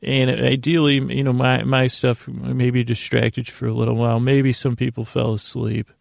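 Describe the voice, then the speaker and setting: Male bedtime podcast host, heavy vocal fry, halting and self-deprecating, wandering monologue, close dry sound. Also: medium pitch, level tone, no room sound. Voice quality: gravelly, creaky. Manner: meandering, halting, stammering with repeated words.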